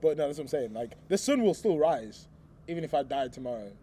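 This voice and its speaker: A man's voice: on the high side, lively intonation, fast, warm tone, husky. Laid-back young male host. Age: young adult. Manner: laughing, agreeable, trailing off. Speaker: interview host. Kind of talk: casual chat.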